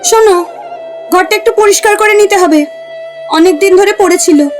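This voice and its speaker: A woman playing a spiteful middle-aged landlady aunt in an audio story, taunting and scornful. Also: extremely high pitch. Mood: grudging. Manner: taunting, scornful, drawn-out delivery. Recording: music behind the voice.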